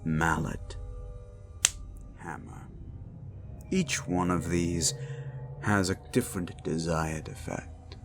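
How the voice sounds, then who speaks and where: A man playing a hulking sadistic slasher killer in a horror roleplay audio, gloating and menacing, savouring each pause and dropping into whispers. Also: low-pitched, fairly even intonation, music in the background. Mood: savouring, sinister.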